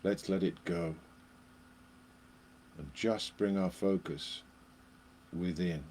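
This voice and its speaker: A man leading a guided meditation, speaking in short phrases with long pauses between them. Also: low voice, fairly even intonation, a little mellow, a little hiss or hum.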